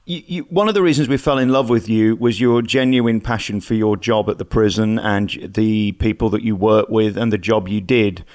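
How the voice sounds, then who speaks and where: A man's voice: on the low side, normal speed, hardly any pauses, a little mellow. A man with an English accent interviewing a guest in a conversational, complimentary way. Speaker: radio interviewer.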